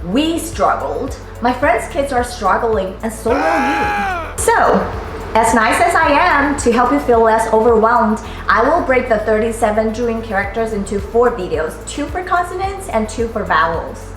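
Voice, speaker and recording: High-voiced woman teaching in an echoey room. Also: lively intonation, a little slow. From Taiwan. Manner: upbeat, playful, drilling sounds clearly for repetition. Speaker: Mandarin teacher. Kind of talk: scripted language lesson. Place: living room at home. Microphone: camera at a distance, seated facing it. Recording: very echoey room, faint music.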